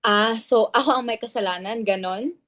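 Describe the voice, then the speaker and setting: A woman acting a blunt, suspicious woman, fairly high. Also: moderate pace, fairly bright tone, lightly acted. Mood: huffy, sarcastic. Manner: argumentative, insistent. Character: suspicious, blunt woman who spreads worry and gossip. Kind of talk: dramatic reading in Filipino.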